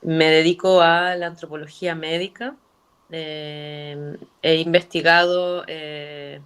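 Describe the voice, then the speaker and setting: A woman from Argentina, introducing herself as a professor on a video call with relaxed fillers. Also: deep voice, slow pace, clean tone. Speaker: public health professor.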